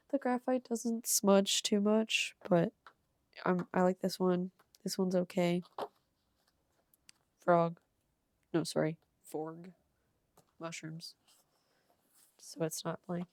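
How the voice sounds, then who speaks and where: A young woman with a cold and a slightly hoarse voice, rambling casually with ums and soft laughs as she shows her art. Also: lowish voice, dry recording. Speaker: art channel creator. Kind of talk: casual sketchbook tour voice-over.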